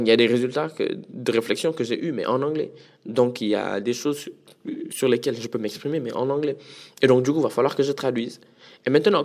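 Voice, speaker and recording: Male podcast host, loose solo talk, switches languages mid-sentence, stumbles and repeats words. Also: mid-range voice. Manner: switches between French and English mid-sentence; frequent restarts and repeated words. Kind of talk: casual solo monologue.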